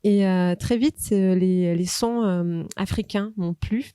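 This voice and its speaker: A woman's voice: medium pitch, unhurried, warm tone, clear, resonant tone, no room sound, clean studio sound. A middle-aged woman from Marseille, a dancer, recalling her path in an interview with many hesitations. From Marseille, France. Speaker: dancer at the school.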